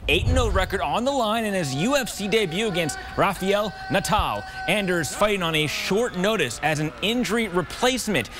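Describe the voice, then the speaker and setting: High-voiced male sports news reporter over music. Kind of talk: scripted sports news narration and highlights commentary.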